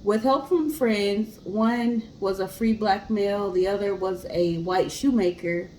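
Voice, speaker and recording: A woman with a mid-pitched voice talks as a co-host, casual and chatty. She tells stories informally and expressively, with some background noise and room ambience around her. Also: a little slow, poor audio. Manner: chatty, expressive, acts out imagined remarks. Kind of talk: casual chat and informal storytelling.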